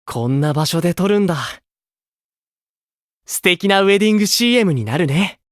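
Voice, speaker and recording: A man voicing a gentle, kindly older-brotherly idol with a romantic streak in an anime game, speaking softly. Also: sits high, animated, dry recording, clean studio sound. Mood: admiring, wistful. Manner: soft, kindly, unhurried.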